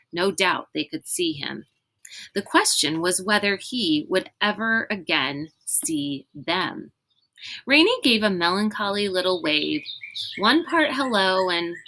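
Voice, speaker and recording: A woman reading a children's novel aloud in an expressive, dramatic storytelling manner. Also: on the low side, rough recording. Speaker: reader reading a book aloud.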